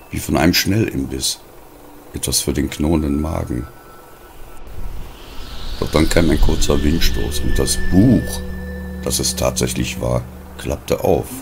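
A man reading a scripted diary story as an audiobook narrator, in a measured, deliberate way with pauses between phrases. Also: rumbling low, vocal fry, background noise.

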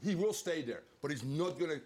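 An older male pundit argues on a football panel in a high, husky voice. His pitch swings widely as he insists on his point and repeats it for emphasis. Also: lively intonation, fairly quick, darkish tone. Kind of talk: heated panel debate. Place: television studio.

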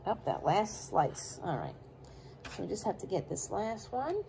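Chatty woman with a lively voice. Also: mid-range voice, a little slow, gravelly, very noisy. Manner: chatty, talks to viewers while chopping. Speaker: cooking vlogger. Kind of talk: casual cook-along chat. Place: home kitchen counter.

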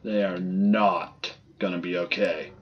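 A man's voice: deep voice, steady tone, slow pace, muffled recording, slight background noise. A man giving casual gameplay commentary, muttering to himself as he plays. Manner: muttering to self. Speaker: gamer doing a playthrough.

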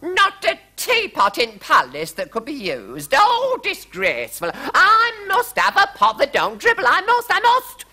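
A storyteller voicing a shrill, frantic, demanding queen in a storybook tale. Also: wildly swooping pitch, unhurried, hardly any pauses, sharp tone, full character voice. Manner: wailing, high and shrill.

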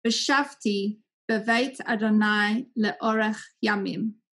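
A woman's voice: mid-range voice, steady tone, fast, a bit bright. A woman in her thirties formally recites a psalm on a video call, indoors. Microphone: video call, computer microphone.

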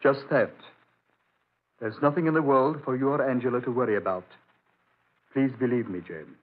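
An actor playing a genial but evasive, weary country doctor with a halting way of talking, in 1940s radio drama style. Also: male voice, medium pitch, close to an everyday voice. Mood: quietly reassuring. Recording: tinny, noisy old radio recording.

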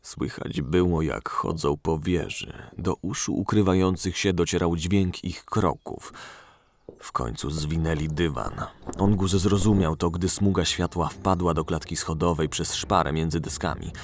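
A man's voice: low-pitched, a little slow, slight background noise. A man narrating a scripted fantasy audio story.

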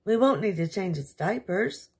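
A woman's voice: medium pitch, animated, moderate pace, a little mellow, slightly rough. A woman voicing a particular, commanding storybook queen in a prim, dramatic manner. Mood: dismayed.

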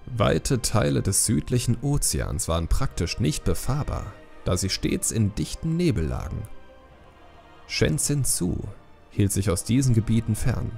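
A man's voice: fairly low pitch, creaky, mellow. A man reading scripted fantasy narration as an audiobook storyteller.

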